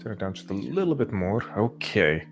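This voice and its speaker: A man's voice: fairly low pitch, big pitch swings, brisk, faint music behind. A man hosting a casual livestream chat, talking in a jokey, playfully self-important way. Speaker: podcast host.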